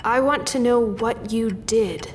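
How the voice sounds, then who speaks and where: A woman's voice: fairly high pitch, takes it slowly, a little hiss or hum. A woman playing a tough, guarded interrogator in an audio drama, with an intense delivery. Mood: hard, sardonic. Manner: terse, sardonic, intense. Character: tough, guarded female interrogator judging a convict's appeal. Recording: long reverb, music underneath.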